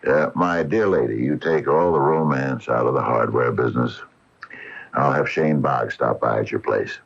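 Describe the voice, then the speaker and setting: Very deep-voiced genial store owner. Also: male voice, moderate pace, slightly rough, exaggerated voice. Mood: genial, teasing. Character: genial small-town hardware store owner, gallant and teasing. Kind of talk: 1980 radio drama acting. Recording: dull, hissy 1980 AM radio broadcast.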